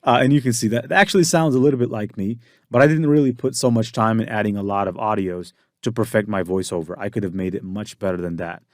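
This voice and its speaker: A man with a fairly low voice walks through a software tutorial in a loose, casual way. He often uses fillers and starts sentences over. His voice is dry and close to the mic. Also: fast, no room sound. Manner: casual explaining with frequent fillers and restarts. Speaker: tutorial instructor.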